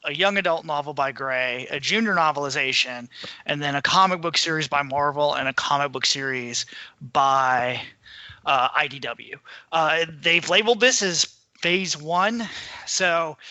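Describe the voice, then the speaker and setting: A man's voice: fairly high pitch, pushed and bright, smooth, dry recording. A man co-hosting a podcast, giving an informal, casual news rundown with some hedging. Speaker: podcast co-host.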